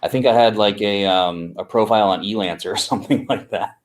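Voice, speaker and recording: A man co-founder of an agency, answering interview questions in an unhurried way with many fillers and restarts. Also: on the low side.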